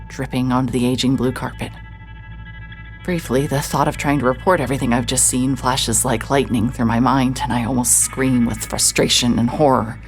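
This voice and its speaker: An actress playing a hard-hearted, sardonic private investigator, narrating in a wry, self-mocking way. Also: female voice, a bass voice. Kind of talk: first-person scripted narration.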